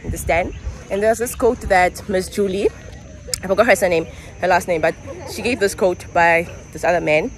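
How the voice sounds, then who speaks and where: A woman's voice: fairly low pitch, moderate pace. A young woman from Namibia talking casually to her viewers in a travel vlog, chatty and full of fillers. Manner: chatty, filler-heavy, addresses viewers directly. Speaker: vlogger.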